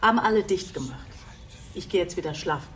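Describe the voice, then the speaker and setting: Radio drama role: wary female innkeeper, terse and superstitious, lowish voice actress. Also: animated, fairly quick, faint music behind, lightly acted. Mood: curt, wary. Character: wary hotel keeper, blunt and superstitious about judges.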